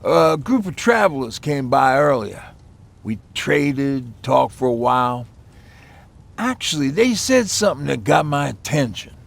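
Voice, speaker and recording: A weathered survivor of the end of the world, speaking in a very gravelly, rasping voice. He tells his story haltingly and reflectively, as in a naturalistic video game drama. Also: medium pitch, sing-song, heavily acted. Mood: uneasy. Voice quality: gravelly, husky. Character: weathered male post-apocalypse survivor recalling hard times.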